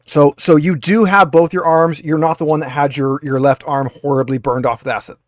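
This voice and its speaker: A man's voice: on the high side, fairly even intonation, brisk. A man acts as game master for a tabletop roleplaying session. He explains and narrates in a loose, conversational style, with a dry sound free of room echo.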